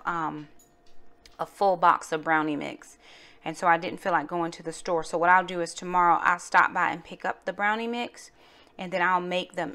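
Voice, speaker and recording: A woman's voice: on the low side, bright, forceful tone. A woman home cook talking casually to her viewers as she narrates her vlog in a kitchen. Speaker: home cooking vlogger.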